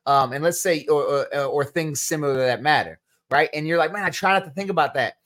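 A man's voice: on the high side, fast talker, fairly bright tone. Casual New Jersey man answering chat questions. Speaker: podcast host.

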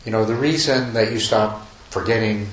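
Man, meditation teacher, low voice, rambling with restarts, echoey room, faint hiss. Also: moderate pace. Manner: rambling, many restarts, chuckles softly. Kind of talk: dharma talk to retreat group. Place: retreat meditation hall.

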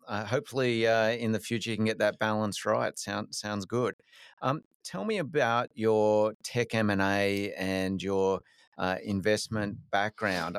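A man hosting a podcast interview, speaking in a measured, steady way with a few fillers. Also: fairly low pitch, vocal fry, no echo. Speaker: podcast host.

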